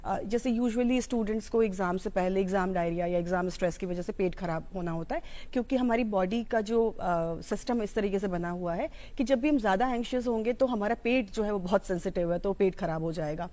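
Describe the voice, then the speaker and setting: Indian woman doing an informal educational talk, relaxed mellow voice, average pitch, mixes in english words. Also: brisk, hardly any pauses. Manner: explanatory, code-mixing Hindi with English terms. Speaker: psychology educator. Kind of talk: informal explanatory talk on mental health.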